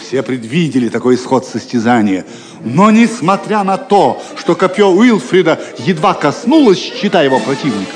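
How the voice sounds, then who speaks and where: A male storyteller reads a scripted tale with very animated intonation. The voice rings with a long hall reverb, and music plays clearly behind it. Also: a little high, moderate pace. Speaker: narrator.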